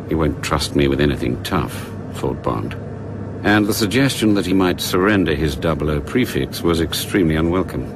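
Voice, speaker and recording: An actor narrating a spy radio drama as a measured, grave storyteller. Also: male voice, a bass voice, noisy background. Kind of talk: scripted narration.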